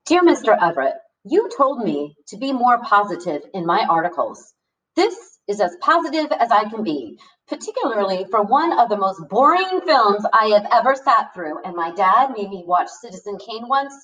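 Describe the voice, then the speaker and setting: A woman's voice: mid-range voice, very animated, normal speed, fairly bright tone. A woman reading as a sarcastic, melodramatic schoolboy, mock-grand in a storybook read-aloud. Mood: sarcastic, defiant. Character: sarcastic, melodramatic schoolboy writing reviews and letters. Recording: distant microphone.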